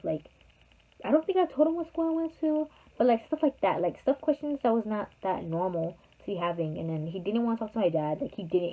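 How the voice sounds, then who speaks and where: A young woman telling a personal story to her viewers in a rambling, chatty way, full of fillers. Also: lowish voice. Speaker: vlogger. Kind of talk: casual storytime. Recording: tinny phone-like sound.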